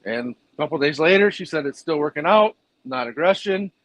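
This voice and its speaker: Guest: man, fairly high voice, dry close mic, plainspoken and rambling. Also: animated, fairly quick, dry recording, rough recording. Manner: plainspoken, rambling explanations with fillers. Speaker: fish food business owner and breeder. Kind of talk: casual interview answers.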